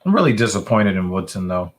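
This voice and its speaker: A man's voice: low voice, big pitch swings, fast. A man co-hosting a casual watch party, chatting loosely about a fight, laughing and often restarting his sentences. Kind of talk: casual fight-night chat.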